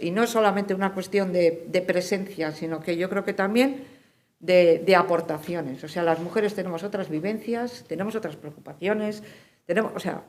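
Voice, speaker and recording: Female panelist, medium pitch. Reflective activist testimony, emphatic, with frequent tag questions. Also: a little mellow. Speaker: panelist, civil society peace activist. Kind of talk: reflective spoken testimony at a forum.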